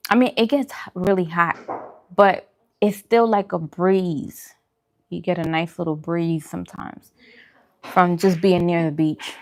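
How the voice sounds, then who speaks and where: Casual, chatty woman with lowish pitch. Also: steady tone, a little slow. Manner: informal, colloquial, chatty. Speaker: vlogger hosting a mukbang livestream. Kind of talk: casual livestream chat with viewers while eating.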